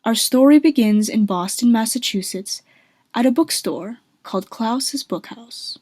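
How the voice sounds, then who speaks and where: A woman's voice: on the high side, animated, unhurried, a little mellow, dry recording. A woman giving scripted narration for a radio drama. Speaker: cast member and narrator.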